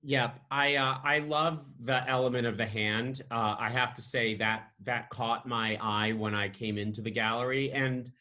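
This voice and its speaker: A man's voice: mid-range voice, steady tone, normal speed, hardly any pauses, pushed and bright. A man hosting an interview, speaking in a friendly, appreciative way with some fillers. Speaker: interviewer, host.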